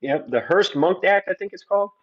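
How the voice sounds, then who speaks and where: A man's voice: high voice, expressive, fairly quick, slightly rough, highs cut off. A man in his forties, a guest on a livestream, talking earnestly and at length with many restarts. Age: 40s. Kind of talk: opinionated conversation.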